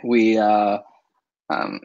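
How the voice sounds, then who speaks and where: A man from England, a guest in a casual interview, talking with many fillers and soft laughs. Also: on the low side, huge pitch swings, drawn-out words, creaky, lo-fi sound. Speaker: interview guest, online seller.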